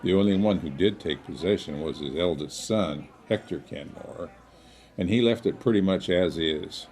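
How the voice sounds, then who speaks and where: A man playing a courteous small-town storyteller in an audio drama, with a folksy, measured, old-fashioned politeness. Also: sits low, darkish tone, close to an everyday voice. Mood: helpful.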